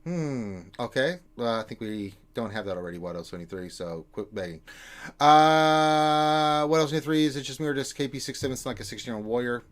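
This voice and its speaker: A man's voice: mid-range voice, lively intonation. Joking man hosting a live Q&A. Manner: joking, quick asides, frequent "uh" fillers. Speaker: livestream host reading viewer questions. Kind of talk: casual live Q&A chat.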